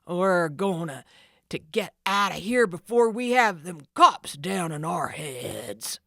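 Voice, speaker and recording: A woman voicing a gruff male ringleader of thieves who growls and barks orders. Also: lowish voice, sing-song, slow pace, bright, forceful tone, no room sound, studio quality, heavily acted. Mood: angry.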